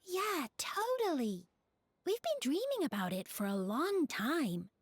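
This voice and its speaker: A woman's voice: high-pitched, big pitch swings, measured pace, voice pushed up. A woman voicing an adoring little yokai follower from a game, childlike and enthusiastic. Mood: adoring, eager. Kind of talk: game dialogue read-aloud.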